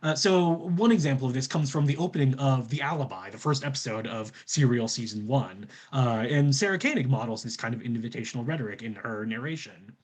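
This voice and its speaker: A man's voice: medium pitch, fairly quick, few pauses, a little mellow. A man presenting scholarly research from a script, in formal academic wording with citations.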